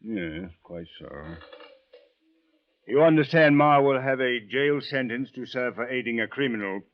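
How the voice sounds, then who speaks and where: Male actor as formal Cairo police official, courteous, sly, precise wording, mid-pitched voice, dull old radio drama sound. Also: lively intonation, a little slow, lightly acted. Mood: measured, probing. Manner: precise, stiffly formal, uncontracted phrasing. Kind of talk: 1940s-50s radio drama acting. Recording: muffled old-time radio recording.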